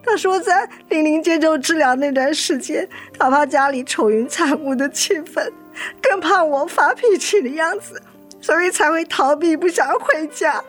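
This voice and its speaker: A woman's voice: high voice, normal speed, faint music behind, lightly acted. A woman playing an anguished, devoted mother of a sick child in a radio drama, emotional and plaintive. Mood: sorrowful. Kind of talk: Mandarin radio drama acting.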